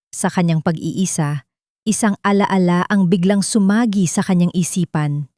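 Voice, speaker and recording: A woman's voice: on the low side, a little mellow, no room sound, clean studio sound. A woman with a Filipino accent reading a dramatic scripted story as narrator.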